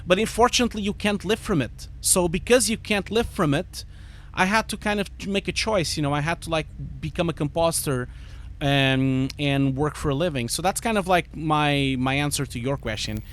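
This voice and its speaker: A man's voice: fairly high pitch, normal speed, a bit bright. A man of about forty from Portugal with a Portuguese accent, chatting casually and ramblingly on a livestream while gaming and answering viewers. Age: 40s. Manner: rambling, easily distracted, informal with swearing. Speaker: livestream host, visual effects artist.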